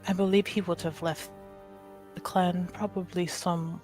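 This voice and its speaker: A woman playing a tabletop roleplaying game, thinking aloud in a halting, apologetic way as she narrates. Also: lowish voice, flat delivery, darkish tone, faint music behind. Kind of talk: improvised actual-play roleplay, casual narration.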